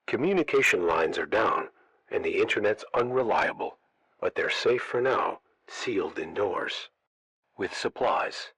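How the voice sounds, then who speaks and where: A man playing a radio broadcaster holed up during an outbreak, reading scripted emergency bulletins in a measured way. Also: lowish voice, lively intonation, fairly bright tone, husky, no room sound, exaggerated voice, low-quality recording. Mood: grave, worried.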